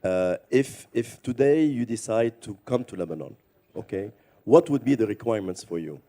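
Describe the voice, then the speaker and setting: A man's voice: fairly low pitch, animated, normal speed, mellow. A man moderating a conference panel, giving directions and asking short questions. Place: conference hall.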